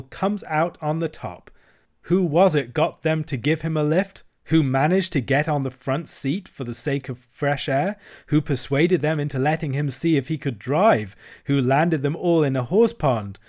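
A man's voice: mid-range voice, extremely slow, a little mellow. A man voicing a boastful, reckless male toad from a storybook, swaggering and gloating.

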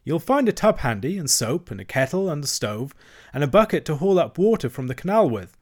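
A hearty middle-aged barge woman from a storybook, as a male narrator puts her on. Her voice is raised and lively, polite on the surface and quietly sly underneath. Warmly chatty and steady. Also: on the high side, a little fast, voice pushed up.

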